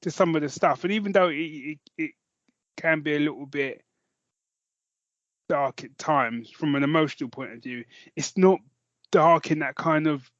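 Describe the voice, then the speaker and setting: A man's voice: a little high, normal speed, long pauses, no room sound, dull audio. A man chatting casually as a podcast co-host, rambling and jokey in a self-deprecating way.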